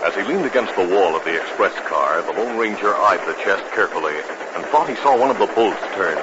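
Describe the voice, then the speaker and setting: American guy, old time radio announcer, polished n dramatic, muffled recording w loud noise. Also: low-pitched, animated, a little fast. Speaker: announcer and narrator. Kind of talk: 1950s radio scripted narration and advertising.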